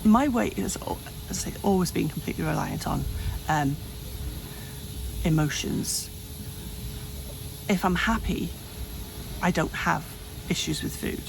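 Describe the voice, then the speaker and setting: Woman, 58, candid vlogger, raspy fry in the voice, rambling with restarts, noisy room sound. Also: lowish voice, lively intonation, unhurried, pauses often. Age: 50s. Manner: rambling, frequent restarts and self-corrections. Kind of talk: candid personal vlog. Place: home, hot room.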